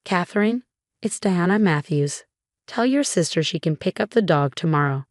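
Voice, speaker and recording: A woman's voice: on the low side, animated, moderate pace, no room sound, studio quality, natural voice. A woman voicing a scheming, falsely sweet grandmother in a dramatic narrated story, cooing and self-righteous. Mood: smug, conspiring.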